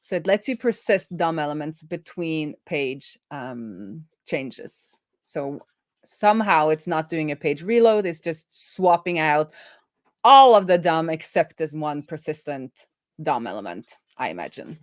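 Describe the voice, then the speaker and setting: A woman's voice: low-pitched, very animated, measured pace, dry recording, clean studio sound. A woman hosting a coding livestream, chatting casually and thinking aloud as she works.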